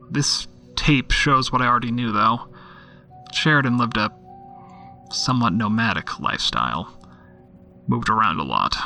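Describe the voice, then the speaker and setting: A man's voice: mid-range voice, creaky, sharp tone, heavily acted. An actor playing a skeptical, weary male police detective who muses dryly aloud in an audio drama. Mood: weary resigned. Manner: dry, grumbling, musing aloud. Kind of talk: audio drama, naturalistic monologue into recorder.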